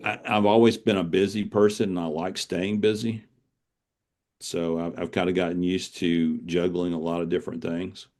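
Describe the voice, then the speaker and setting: A man's voice: low-pitched, level tone, normal speed, a little mellow. A man speaking conversationally as an interview guest, explaining things and repeating words as he thinks.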